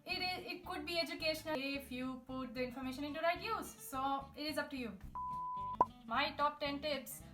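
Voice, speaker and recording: A woman's voice: sits high, normal speed, sharp tone. A young woman, chatting upbeat language-learning tips straight to her viewers. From India. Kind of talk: casual tips video to camera. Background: guitar music.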